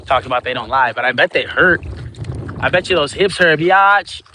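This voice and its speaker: Cuban guy, stoned and rambling, lots of swearing, streaming live from a boat on a phone, a bit higher-voiced. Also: animated, normal speed, bright, forceful tone, a little hiss or hum, rough recording. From Cuba. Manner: profane, rambling, stoned banter with viewers. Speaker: livestreamer. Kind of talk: rambling livestream chat. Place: outdoors on open water in a small boat.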